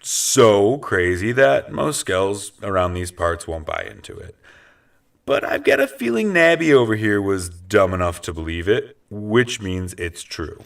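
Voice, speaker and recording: A man's voice: fairly low pitch, very animated, unhurried, gravelly, distant mic, heavily acted. A man playing a cocky, wry vigilante detective with no powers in a comic superhero audio drama, talking casually and sardonically. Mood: wry, explaining.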